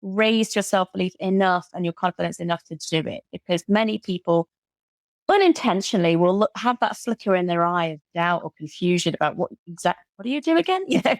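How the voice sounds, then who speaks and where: A woman's voice: lowish voice, animated, brisk, no room sound. A British woman guesting on an interview, talking about her coaching work with enthusiasm and often repeating words for emphasis. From Britain. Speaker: guest, burnout recovery coach. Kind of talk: casual interview chat.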